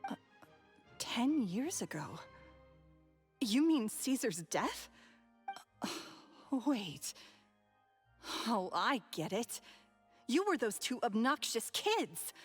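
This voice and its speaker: A woman playing a bitter, guarded former phantom thief in a video game, with measured, dramatic, cynical delivery. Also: a little high, big pitch swings, breathy edge, full character voice. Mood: incredulous, scornful. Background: background game music.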